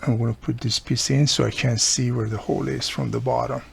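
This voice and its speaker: A man's voice: medium pitch, flat delivery, fairly quick, creaky, breathy edge. A man giving a casual hands-on repair demonstration, explaining each step plainly as he works. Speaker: radio repairer and video host.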